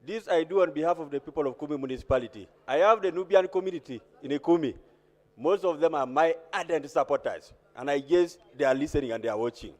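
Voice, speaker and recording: A man with a Ugandan accent speaking as a member of parliament, making a measured, exhorting formal speech. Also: fairly high pitch. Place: large parliamentary chamber. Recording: echoey hall with long reverb.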